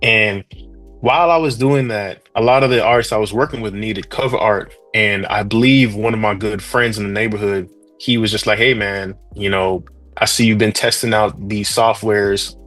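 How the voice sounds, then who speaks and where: Laid-back young man, low voice. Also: fairly even intonation, normal speed, a bit bright, no room sound, faint music behind. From Trinidad. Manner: laid-back, rambling, slangy. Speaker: interview guest, 3D artist. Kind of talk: casual interview chat.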